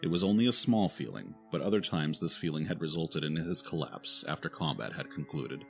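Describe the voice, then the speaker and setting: A man's voice: very deep voice, animated, a little fast, vocal fry. A man reading scripted narration for a fantasy audio drama. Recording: music behind the narration.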